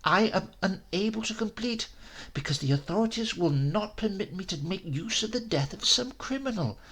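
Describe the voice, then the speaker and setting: A man's voice: high voice, animated, moderate pace, gravelly, fairly bright tone, husky, pitched above the performer's own voice, full character voice. A man voicing a callous, obsessed Italian professor in a horror story, pompous and theatrical. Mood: anguished, grandiose.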